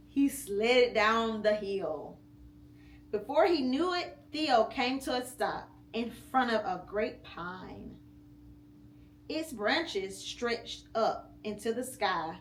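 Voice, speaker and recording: A mother reads a storybook aloud at home, taking her time and shaping each phrase with slow, deliberate pauses. Her voice sits a little high for a woman. The room is echoey, with a faint hum underneath. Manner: slow, expressive reading with pauses. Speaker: mother reading to her child.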